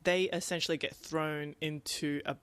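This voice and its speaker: A man casually discussing a book as a podcast host, halting and repeating words, often checking in with "right?". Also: on the high side, fairly even intonation, a bit bright, smooth, no room sound.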